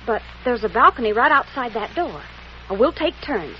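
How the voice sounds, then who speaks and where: A woman's voice: on the high side, big pitch swings, normal speed. An actress playing an earnest, apologetic young woman in a 1940s radio romantic comedy. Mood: earnest, shy. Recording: muffled, noisy old 1940s radio broadcast.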